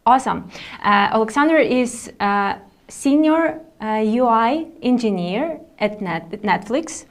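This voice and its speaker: A woman's voice: a little high, lively intonation, unhurried. A woman co-hosting a webinar over a video call, asking short friendly questions with fillers. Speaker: webinar co-host.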